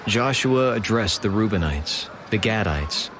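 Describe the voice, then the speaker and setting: Low-voiced male scripture narrator. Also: expressive, unhurried, noisy background. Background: music.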